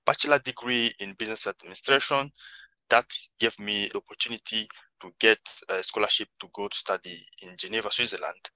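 A man's voice: on the low side, pushed and bright, dry recording, low-quality recording. A man with a Congolese accent speaking earnest, non-native English as he gives reflective answers as a podcast guest. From Democratic Republic of Congo. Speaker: podcast guest, social entrepreneur.

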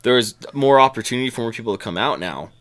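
A man's voice: medium pitch, fast. A man in his twenties, a musician guest, talking casually with lots of swearing and laughter. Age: 20s. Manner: profane, animated, laughs often. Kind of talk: casual conversation.

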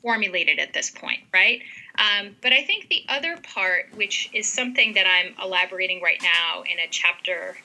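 A woman's voice: lowish voice, animated, normal speed, gravelly, bright, forceful tone, poor audio. A woman author and ethnographer, a native Western Armenian speaker, answering interview questions thoughtfully with many fillers and restarts.

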